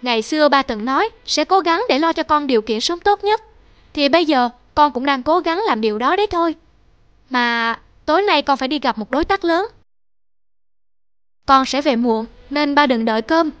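A woman voicing a money-obsessed, dismissive young businessman, boastful and insistent, in an animated moral drama. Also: sits high, normal speed, dry recording, dull audio. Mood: defensive.

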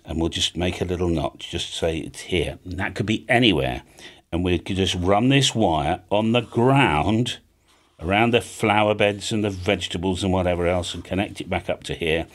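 Deep-voiced man explaining things. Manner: conversational, explanatory, checks in with tag questions. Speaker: amateur radio video host. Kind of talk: informal hands-on tutorial. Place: small home studio with drums and guitars.

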